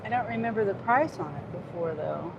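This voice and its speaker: A woman's voice: medium pitch, expressive, fairly quick, creaky, highs cut off. Chatty woman in her fifties, noisy car. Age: 50s. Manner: chatty and rambling, with frequent restarts. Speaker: gig delivery driver livestreaming. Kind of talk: casual live-stream chat reading viewer comments.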